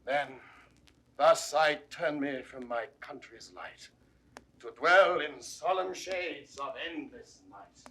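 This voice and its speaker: An actor playing a proud, wounded, aging English duke, speaking Shakespearean verse in a husky British voice with measured, mournful eloquence. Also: male voice, on the high side, fairly bright tone, full character voice, rough recording. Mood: mournful resignation. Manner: measured, rhetorical. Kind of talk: 1960s classical Shakespearean verse acting.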